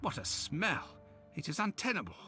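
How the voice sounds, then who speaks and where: An actor playing a polite, uneasy gentleman sidekick with a genteel, earnest way of talking in a video game. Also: on the high side, wildly swooping pitch, moderate pace, music in the background. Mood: uneasy concern. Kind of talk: video game cutscene voice acting.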